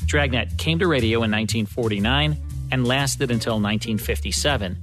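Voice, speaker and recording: A man's voice: mid-range voice, brisk, music in the background. An American man hosting a radio show, reading scripted promotional and introductory narration.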